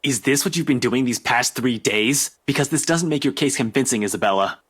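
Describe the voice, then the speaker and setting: An actor playing a stern, exasperated, by-the-book police investigator in a visual novel, dismissive and quick to swear, with a husky voice. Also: male voice, medium pitch, a bit bright. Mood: angry, reproachful.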